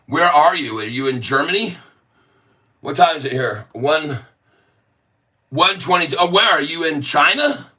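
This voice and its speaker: A man's voice: fairly high pitch, lively intonation, a little slow, pauses often, pushed and bright, distant mic. A man chatting on a livestream, reading viewers' comments aloud, joking around and laughing a lot. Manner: jokey, laughs often, mock accents. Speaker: livestream host reading chat. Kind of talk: casual livestream chat.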